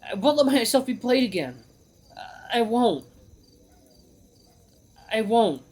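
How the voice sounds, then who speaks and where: Guy doing a defensive young hero, voice way up high, stubborn n flustered. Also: sing-song, takes it slowly, darkish tone, heavily acted. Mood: defensive, upset.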